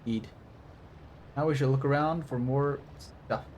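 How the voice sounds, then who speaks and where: A man's voice: mid-range voice, measured pace, darkish tone, a little hiss or hum. A man livestreaming a game, reading text aloud and drifting into rambling, enthusiastic asides. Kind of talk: game stream commentary, reading on-screen text aloud and chatting.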